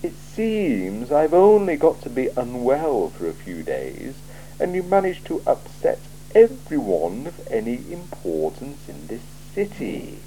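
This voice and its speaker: A man voicing a cool, sardonic male city ruler in an audiobook, speaking in a slow, measured, dry and probing way. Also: mid-range voice, huge pitch swings, mellow, slight background noise, voice pushed up, poor audio. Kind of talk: audiobook character voice.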